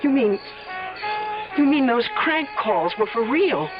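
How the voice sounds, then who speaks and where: A muffled old radio recording, music clearly behind, features a young American friend who is polite and wary. Her delivery is lively and expressive, with anxious questioning. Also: a little high, takes it slowly. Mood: anxious, shocked. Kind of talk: old-time American radio drama acting.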